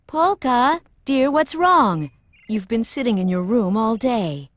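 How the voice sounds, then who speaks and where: Gentle worried mother, dry sound. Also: on the high side, animated, slow, no room sound. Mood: tender, worried. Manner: tender, fretful. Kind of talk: video game cutscene voice acting.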